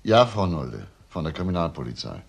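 An actor playing a probing, insistent police detective with a gravelly voice in a crime drama. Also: male voice, rumbling low, very animated, a little fast, full character voice. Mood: grave, measured. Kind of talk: German TV crime drama dialogue.

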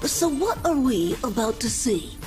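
A woman's voice: a little high, very animated, measured pace, a little mellow, faint music behind. A woman playing an upbeat interviewer in a video game.

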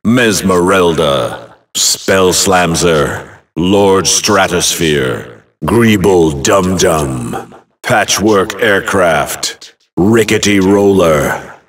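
A man's voice: low voice, fairly bright tone, recorded from a distance, full character voice. An actor playing a commanding male announcer in a fantasy action video game, delivering short phrases in an emphatic, clipped way. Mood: ominous, dramatic.

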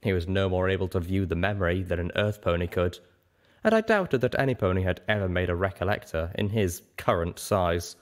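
A man's voice: sits low, a little fast. A man reading a story aloud as a scripted narrator. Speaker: audiobook reader and podcast host.

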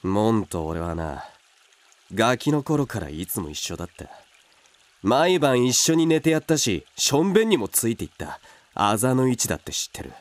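Man acting a rough, hot-tempered brother, swinging pitch. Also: very animated, moderate pace, dry recording, natural voice. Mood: brooding. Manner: coarse, blunt rough speech. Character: rough, hot-tempered but protective working-class older brother. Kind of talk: Japanese radio drama acting.

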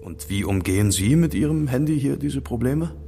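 An actor playing a stubborn young student investigator, wry and questioning, in a German audio drama. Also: male voice, medium pitch, expressive, normal speed, vocal fry, warm tone, faint music behind. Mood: probing.

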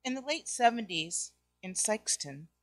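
A woman's voice: mid-range voice, lively intonation, normal speed, background noise. A middle-aged woman reading a scripted account aloud into a close microphone. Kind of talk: scripted narration. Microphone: close microphone on a boom stand.

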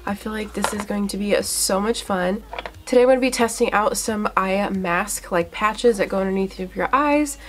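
A woman's voice: medium pitch, moderate pace. A young woman talks through a skincare test with a stuffy, congested voice from a cold. She chats eagerly and loosely, filling her talk with likes and ums. She sounds close to the camera in a small room. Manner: chatty, filler-heavy, eager. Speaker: beauty vlogger. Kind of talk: casual product review.

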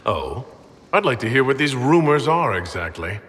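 An actor playing an earnest, steadfast leader in a fantasy game, speaking in a calm, measured way. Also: male voice, mid-range voice. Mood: firm, challenging. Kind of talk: video game cutscene dialogue. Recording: long reverb, music behind.

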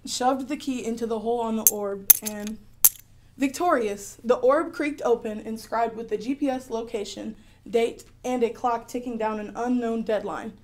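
Clever, adventurous girl heroine woman actor, higher-pitched voice, earnest first-person radio drama storytelling. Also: moderate pace, a little mellow, slight background noise, lightly acted, rough recording. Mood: excited, wondering.

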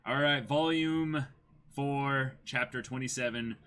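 A man's voice: mid-range voice, takes it slowly, sharp tone. A man in his twenties hosting a livestream, chatting casually and reading aloud in a jokey way, repeating short phrases. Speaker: streamer hosting a manga dramatic reading. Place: small indoor room with backdrop.

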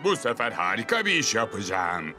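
A proud cartoon cement truck who craves praise. The delivery is boastful and grumbling with very animated intonation, over clear background music. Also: male voice, lowish voice, fairly quick. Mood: eager, boastful. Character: proud, discontented male cement mixer truck craving praise. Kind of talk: dubbed children's cartoon acting.

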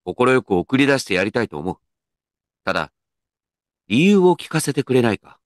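An actor playing an earnest, dignified company president in a comedic radio drama, with pompous, measured executive speech. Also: male voice, medium pitch, very animated, no room sound, clean studio sound, close to an everyday voice. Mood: grave, probing.

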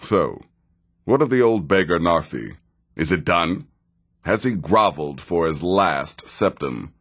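An actor playing a sardonic middle-aged desert assassin in a fantasy video game, with a very deep, gravelly voice and a dry, measured, theatrical delivery. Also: male voice, very animated, fairly bright tone, heavily acted.

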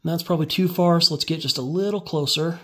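A man with a slightly high voice talks through a workbench build in a relaxed, step-by-step way. He explains each move casually as he works with his hands, recorded indoors on a phone above the bench. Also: quick pace. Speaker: DIY tutorial maker.

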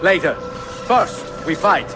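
A man's voice: a little high, animated, slow pace, music in the background. Grave, resolute master assassin father. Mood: urgent, resolute. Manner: grave, terse. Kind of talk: dramatic game acting.

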